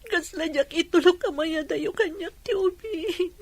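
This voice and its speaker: A woman plays a tender, sorrowful wife in an Ilocano radio drama. Her voice is very high and plaintive, with an Ilocano Filipino accent. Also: measured pace, dry recording.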